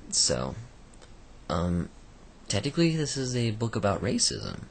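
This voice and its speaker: Croaky deep-voiced man rambling. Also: wildly swooping pitch, measured pace, slight background noise. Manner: rambling, many fillers and restarts. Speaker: book reviewer. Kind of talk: casual spoken book review.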